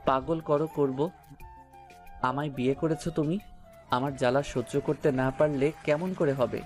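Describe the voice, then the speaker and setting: A man's voice: on the high side, fairly even intonation, moderate pace, darkish tone, close to an everyday voice. A man playing a coy, mischievous newlywed husband in a romantic audio story. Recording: music bed under the voice. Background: music.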